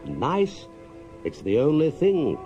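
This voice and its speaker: Man voicing a hearty, excitable storybook water rat. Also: fairly high pitch, slow pace, lo-fi sound, over music, pitched above the performer's own voice, heavily acted. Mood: incredulous. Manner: hearty, chatty, exclamatory English gentleman talk. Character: brisk, friendly, excitable male water rat of the riverbank. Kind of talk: children's storybook character reading.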